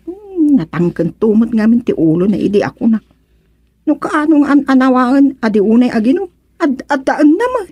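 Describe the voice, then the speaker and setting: An actress playing an exasperated older sister in a radio serial, plaintive and scolding, with a Filipino accent. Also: female voice, sits high, big pitch swings, normal speed, warm tone, breathy edge, dry recording, exaggerated voice. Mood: resigned. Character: exasperated older sister who peddles goods, fed up with brother. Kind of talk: radio serial drama acting.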